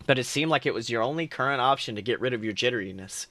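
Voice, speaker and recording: A man's voice: medium pitch, fast, bright, forceful tone, no room sound. A man co-hosting a podcast, reading second-person fan fiction aloud earnestly, with small stumbles and restarts.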